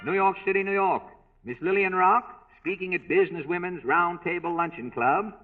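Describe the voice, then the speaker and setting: A man's voice: fairly high pitch, breathy edge. A man announcing news items in the theatrical style of 1930s radio. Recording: tinny, narrow 1930s radio recording.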